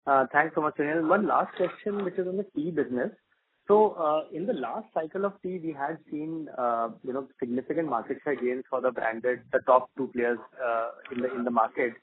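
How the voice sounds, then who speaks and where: A man's voice: mid-range voice, fairly quick, a little hiss or hum. A man calling in as a financial analyst, asking questions and rephrasing them tentatively as he goes. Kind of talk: questioning on an earnings call. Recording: tinny, narrow phone line.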